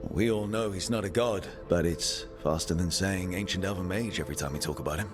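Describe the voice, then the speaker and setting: An actor playing a composed, pragmatic leader of adventurers in a video game, speaking in a measured, dry way. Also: male voice, low-pitched, natural voice. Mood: matter-of-fact. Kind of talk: video game cutscene acting. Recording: game dialogue under clear background music.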